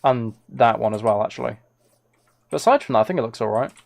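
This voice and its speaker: Rambling man streamer with creaky voice. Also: medium pitch, lively intonation, quick pace, darkish tone. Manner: rambling, musing to himself while playing. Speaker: live streamer. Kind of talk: casual gaming livestream chat, thinking aloud.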